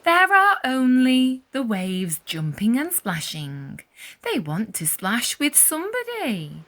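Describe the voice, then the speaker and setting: A woman reading a children's story aloud expressively, with deliberate emphasis and animated storytelling. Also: high-pitched. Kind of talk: expressive read-aloud narration for young children.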